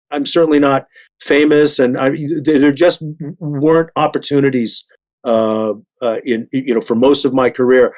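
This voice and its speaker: An older man talks in a casual panel discussion with a mid-range voice. He is earnest and halting, often stammering over a word before going on. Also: mid-range voice, darkish tone. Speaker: actor guest.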